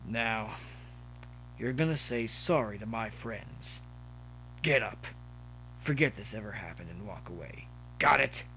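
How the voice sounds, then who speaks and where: A man's voice: lowish voice, lively intonation, unhurried, long pauses, full character voice. Cynical tough-guy pony student, performed by a man, barking firm orders, audio story, faintly noisy recording. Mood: stern command. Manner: stern, commanding, shouted.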